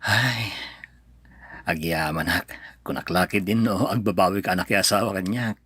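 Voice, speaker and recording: A man's voice: sits low, moderate pace, sharp tone, slightly rough, no room sound, clean studio sound, full character voice. A man playing an earnest, pained son and devoted husband in a radio drama, pleading, with an Ilocano accent. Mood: tender, worried.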